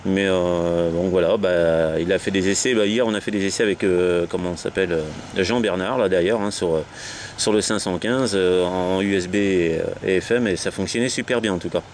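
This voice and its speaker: A French man with a low voice leads a casual amateur radio round from outdoors. He rambles and hesitates, often repeating himself. There is faint noise around the voice. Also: fairly even intonation, moderate pace. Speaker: amateur CB radio operator leading a round of contacts. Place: outdoors on a low wall at the end of a sea dike.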